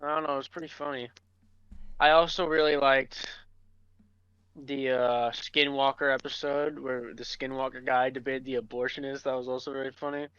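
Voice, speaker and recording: A man chatting casually as a podcast co-host, rambling and halting as he goes. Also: mid-range voice, little pitch movement, a bit bright, smooth, dry recording, lo-fi sound. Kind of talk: casual chat.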